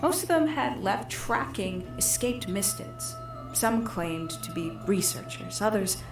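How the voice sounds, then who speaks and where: A woman playing a calculating young villain in a video game, confessing her schemes in measured, deliberate, theatrical storytelling. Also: medium pitch, big pitch swings. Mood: brooding, measured. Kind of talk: scripted video game character monologue. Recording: echoey, with music behind.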